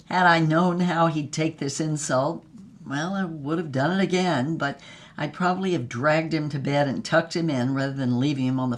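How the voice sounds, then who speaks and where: A woman playing a worldly, tough, glamorous heartbreaker, recounting her past in a wry, sardonic, unflappable way for audio drama. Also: low voice. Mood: amused, rueful. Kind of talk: dramatic first-person storytelling in audio fiction.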